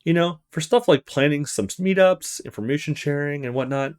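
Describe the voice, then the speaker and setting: A man's voice: a little high, normal speed, smooth, lightly acted. A man voicing a friendly, easygoing young male university student in a visual novel, chatty and casual. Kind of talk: visual novel character read-aloud.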